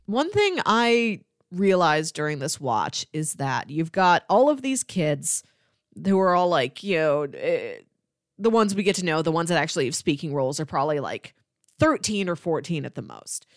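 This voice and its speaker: A young adult woman co-hosting a podcast, discussing film casually and reflectively in an expressive way. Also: low-pitched, normal speed, no echo, studio quality. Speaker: podcast co-host.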